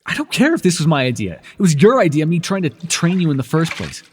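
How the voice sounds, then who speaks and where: A man's voice: a little high, wildly swooping pitch, fast, a little hiss or hum. A man playing a sardonic telepath villain who is a reluctant, protective mentor in a superhero audio roleplay, intense and emotive. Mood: stern, angry. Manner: intense, emotive, swinging between biting and tender.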